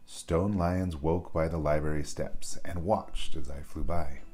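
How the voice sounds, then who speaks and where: A man narrating a children's bedtime story as a reader, with expressive, dramatic storytelling. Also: rumbling low, measured pace, breathy edge, faint music behind.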